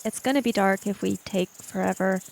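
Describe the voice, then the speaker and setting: A woman's voice: medium pitch, fairly quick, creaky, darkish tone, slight background noise. A woman playing a tabletop roleplaying game, chatting casually, often as her character.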